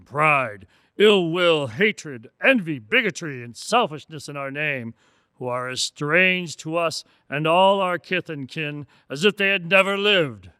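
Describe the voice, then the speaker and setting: Giant festive spirit, radio drama, booming, declamatory, good-natured authority, raised pitch, dry sound. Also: male voice, bright, forceful tone, clean tone, no echo, heavily acted.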